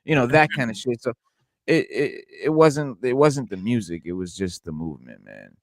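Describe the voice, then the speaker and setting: A man's voice: mid-range voice, lively intonation, normal speed, dry recording, clean studio sound. A man in his forties co-hosting a casual hip-hop chat, emphatic and loose, with plenty of profanity and stammered restarts. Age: 40s. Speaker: podcast co-host.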